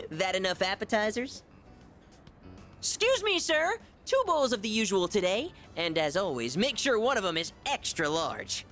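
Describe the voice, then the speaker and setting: Gluttonous, pompous high-school boy for a game; high, bombastic, very animated delivery. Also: normal speed, faint music behind, full character voice. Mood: excited, showy. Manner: bombastic, dramatic swings between squeals and low asides. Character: gluttonous, pompous, theatrical male high-school student, self-styled gourmet. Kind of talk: video game voice acting.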